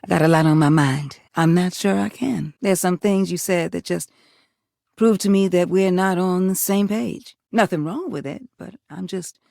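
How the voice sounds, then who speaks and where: Creaky, sultry sweetheart appliance. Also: female voice, on the low side, moderate pace, darkish tone, no room sound, clean studio sound. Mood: pensive, gentle. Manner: folksy, drawling, full of pet names. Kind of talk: video game voice acting.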